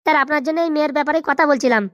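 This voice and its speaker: A woman plays an obliging, loyal village helper in a comic cartoon. The high voice races along, eager to please, in dialect, recorded dry. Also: steady tone, racing, fairly bright tone, dry recording. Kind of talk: comic Bengali cartoon dialogue.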